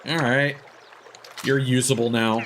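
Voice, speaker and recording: A man's voice: mid-range voice, steady tone, a little slow, fairly bright tone, slight background noise. A man giving casual, jokey commentary while streaming a video game. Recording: game music behind the voice. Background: video game music.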